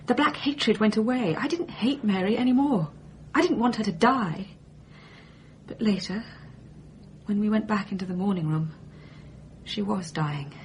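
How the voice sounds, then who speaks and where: A woman's voice: mid-range voice, moderate pace, darkish tone, slight background noise, close to an everyday voice. An actress with an English accent playing a proud, troubled young woman accused of murder, restrained and brooding in a radio drama. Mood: brooding, confessional. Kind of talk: broadcast radio mystery drama acting.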